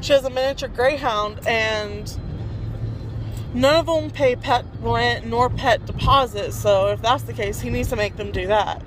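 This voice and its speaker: A woman's voice: on the high side, unhurried. A young woman, chatting and giggling as she vlogs into a phone held at arm's length inside a car at night. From Texas. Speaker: vlogger. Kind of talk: casual chat. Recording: car noise behind.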